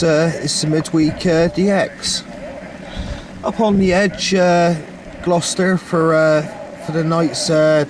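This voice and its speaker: A man giving an informal vlog narration about his radio setup, speaking in a halting, hesitant way. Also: on the high side. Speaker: amateur CB radio operator filming his setup. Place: outdoors or in a car on high ground at night. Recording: clear ambient outdoor and vehicle noise.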